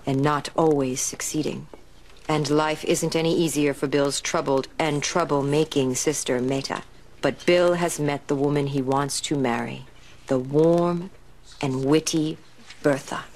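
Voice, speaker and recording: An actress playing an intent radio serial writer who dictates stories dramatically. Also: female voice, sits low, a little mellow, a little hiss or hum.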